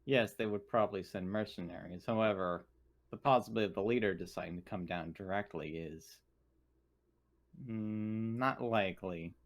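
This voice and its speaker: A man playing a coolly analytical, formal company official in a tabletop roleplay, speaking deliberately and precisely. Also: fairly low pitch, lightly acted.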